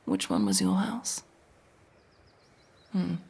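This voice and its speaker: A woman plays a masked elf mercenary in a fantasy ASMR roleplay. Her voice is very husky and breathy with heavy creak, slipping into hushed, halting lines. She is tender under a tsundere front and speaks at an unhurried pace. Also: on the low side, sing-song, exaggerated voice. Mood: soft, relieved. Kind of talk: intimate ASMR roleplay acting.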